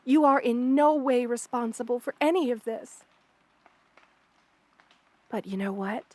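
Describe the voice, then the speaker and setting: A woman voicing a kind, protective spider queen in an ASMR roleplay, speaking intimately and soothingly to the listener with hesitant pauses and formal wording. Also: fairly high pitch, darkish tone, dry recording, natural voice. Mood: tender concern, then firm reassurance.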